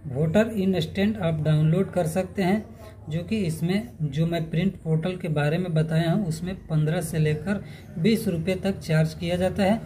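A man's voice: high voice, moderate pace, warm tone, a little hiss or hum, rough recording. A man presenting an informal step-by-step tutorial, instructing listeners in a friendly-address style. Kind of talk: informal explanatory tutorial narration.

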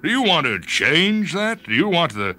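Radio drama: male reader as wealthy aging executive, probing and persuasive, lifted pitch. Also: big pitch swings, normal speed, sharp tone, slight background noise, heavily acted. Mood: probing, weary. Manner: probing, rhetorical, patronizing. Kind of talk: radio short-story dramatization.

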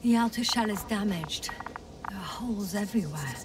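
An actress playing a thoughtful, worried woman scientist exploring space, speaking in a hushed, measured, earnest way in a video game. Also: mid-range voice, animated, recorded from a distance, background noise, lightly acted. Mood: focused. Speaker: crew scientist. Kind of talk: dramatic game voice acting.

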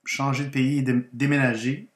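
A man from Guatemala who moved to Quebec as a child, a native Spanish speaker, tells his personal story as a language teacher in deliberate, separate phrases. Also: mid-range voice, flat delivery. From Guatemala, moved to Quebec, Canada aged ten. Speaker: language teacher and channel host. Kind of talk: personal story told as a slow educational narration. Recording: roomy, echoing voice recording.

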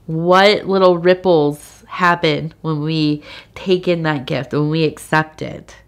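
Slow, low-voiced congested woman. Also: fairly even intonation. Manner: slow, meandering, with many fillers and soft laughs. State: congested from a cold. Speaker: tea leaf reader. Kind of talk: soft-spoken ASMR tarot-style reading.

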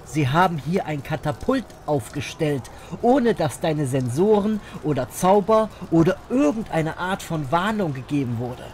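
A man's voice: a little high, expressive, unhurried, darkish tone. Sardonic ferryman played by a man, noisy backdrop. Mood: agitated. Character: sardonic, hot-tempered male grim-reaper ferryman, narrator. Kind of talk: audio drama acting with first-person narration.

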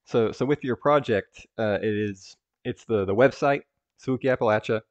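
A man hosting a casual interview over a video call, speaking in a measured way with hedges like "I mean" and "like". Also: mid-range voice, dry recording, muffled recording. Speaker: host.

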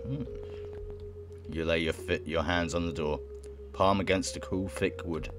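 A young man reading a visual novel aloud in a halting way, with casual reactions of his own. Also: low-pitched, fairly even intonation, noisy background. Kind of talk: let's play, reading game text aloud with casual reactions. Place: indoor room at home.

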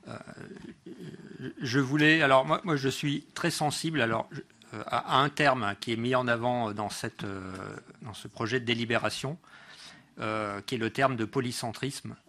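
A man's voice: medium pitch, sharp tone. Hesitant Frenchman addressing a council meeting. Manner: hesitant delivery, frequent pauses and self-corrections. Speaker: local councillor. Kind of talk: political speech at a council meeting.

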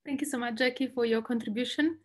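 A woman's voice: on the high side, steady tone, quick pace. A young woman from northern Italy with an Italian accent, explaining as a guest speaker on an online panel. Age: young adult. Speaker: guest speaker, environmental law specialist. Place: indoors, room at home. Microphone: video call, laptop distance.

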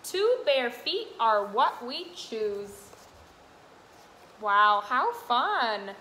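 A woman's voice: fairly high pitch, very animated, drawn-out words, pushed and bright. A young adult woman reading aloud and teaching small children in a sing-song way, asking simple questions. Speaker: toddler classroom helper. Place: indoor classroom, sitting on the floor. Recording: roomy echo, faint room noise.

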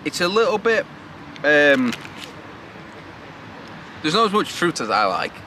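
A man's voice: on the high side, very animated, measured pace, pushed and bright. A man in his thirties from Britain, a travel vlogger chatting casually outdoors. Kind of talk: casual vlog chat. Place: outdoors in a city park. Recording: noisy outdoor location sound.

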